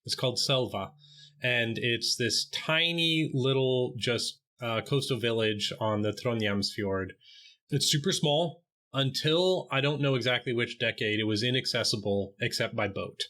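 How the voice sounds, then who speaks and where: Bright-voiced male podcast guest. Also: medium pitch, measured pace. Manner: relaxed, chatty storytelling with fillers and asides. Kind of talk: casual conversational interview.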